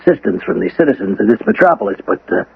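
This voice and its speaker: An actor in a radio drama playing a weary, patronizing police lieutenant with a dry, hesitant, condescending manner. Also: male voice, medium pitch, very animated, racing, vocal fry, breathy edge, heavily acted. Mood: exasperated. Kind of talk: 1980s radio drama acting. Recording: muffled narrow-band old radio broadcast.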